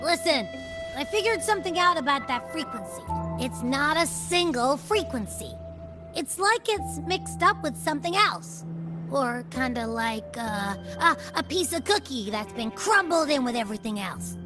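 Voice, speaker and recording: A woman voicing a small, childlike, food-loving companion creature in a video game, chatty, casual and expressive. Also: high voice, moderate pace, music in the background. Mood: chatty, grumbling.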